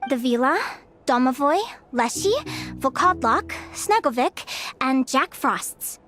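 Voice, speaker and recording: A woman voicing an eager, stubborn young fae girl with bird wings in a video game, earnest and expressive. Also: high-pitched, slow pace, soft background music, exaggerated voice. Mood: curious, thoughtful.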